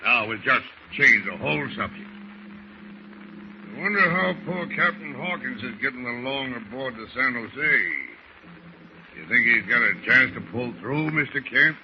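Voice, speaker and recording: An actor playing a crusty older sailor in 1940s radio style, jovial with a sly menace, talking in a folksy seafaring dialect. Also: male voice, mid-range voice, normal speed, close to an everyday voice. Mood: folksy, crafty. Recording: muffled, noisy old radio recording.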